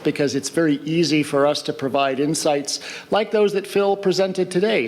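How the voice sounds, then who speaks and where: A man on a research panel answering a question, speaking emphatically without a microphone. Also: a little high. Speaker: researcher on the robot project. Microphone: no microphone, picked up from across the room. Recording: distant voice in a reverberant hall.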